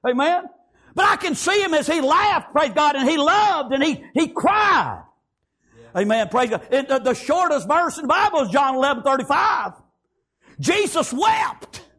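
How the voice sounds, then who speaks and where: A man preaching a sermon, his voice riding very high and swinging widely in pitch. He shouts in rhythmic bursts, punctuating nearly every phrase with "praise God" and "amen.". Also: big pitch swings, normal speed.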